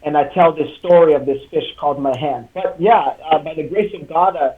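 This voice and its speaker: Young man from Congo, native French speaker, earnest, halting, tinny video call. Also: fairly high pitch, a little hiss or hum. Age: young adult. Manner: earnest, halting, many fillers and restarts. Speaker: guest, author. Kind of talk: live online interview.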